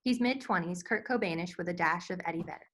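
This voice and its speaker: A woman's voice: on the low side, fast, fairly bright tone. A woman narrating scripted stage directions at a screenplay table read.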